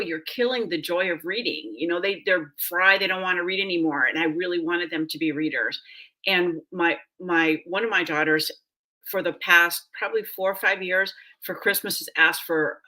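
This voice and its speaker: Middle-aged woman with a bright voice. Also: fairly low pitch, rough recording. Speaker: panel speaker, parent. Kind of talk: casual talk, personal anecdote.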